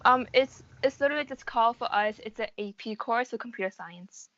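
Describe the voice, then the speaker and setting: A woman's voice: fairly high pitch, fairly quick, fairly bright tone, highs cut off. A young American woman in her late teens giving casual interview answers, informal and often hedging as she explains. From United States. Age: around 18 to 19. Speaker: interviewee, college student.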